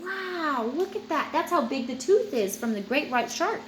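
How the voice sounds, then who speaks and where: A woman's voice: sits high, moderate pace, reverberant, slight background noise. A woman reading a children's picture book aloud, chatting to a child in asides as she goes. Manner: animated, chatting to a child listener. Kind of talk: read-aloud storytelling with asides.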